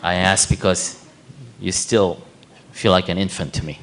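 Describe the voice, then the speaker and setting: A man interpreting live between Korean and English in a temple hall, rephrasing the question to make it clear. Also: low-pitched, fairly even intonation, normal speed, a bit bright, slight background noise.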